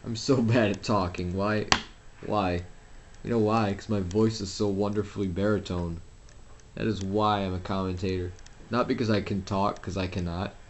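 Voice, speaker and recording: A man's voice: on the low side, fairly even intonation, moderate pace, a little hiss or hum. A young man commentating a wrestling video game at home, rambling and self-deprecating, with lots of fillers and restarts. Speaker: gameplay commentator. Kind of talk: casual solo game commentary.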